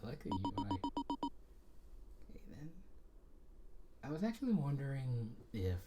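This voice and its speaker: A man's voice: medium pitch, wildly swooping pitch, moderate pace. A man streaming a blind playthrough of a game, talking hesitantly and self-consciously, often trailing off, into a desk mic at home. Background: game music.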